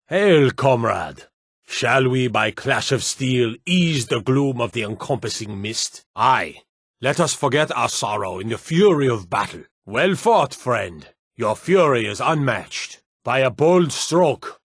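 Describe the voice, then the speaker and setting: An actor playing a proud, hearty and solemn Nordic warrior in a fantasy video game, speaking grand archaic lines in a declamatory way. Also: male voice, medium pitch, dry recording, studio quality. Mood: hearty, welcoming, then battle-hungry.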